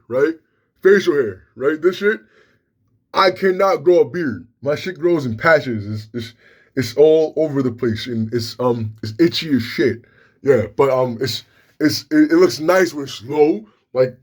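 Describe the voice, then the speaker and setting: Young man rambling frankly to camera. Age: young adult. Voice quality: slightly slurred speech; deep voice. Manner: rambling, frank, profane, many restarts. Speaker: vlogger. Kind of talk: casual talk to camera.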